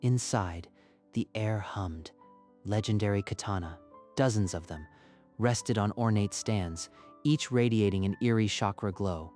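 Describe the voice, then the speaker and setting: A man's voice: on the low side, measured pace, a little mellow, soft background music. A man narrating a scripted story in a dramatic style.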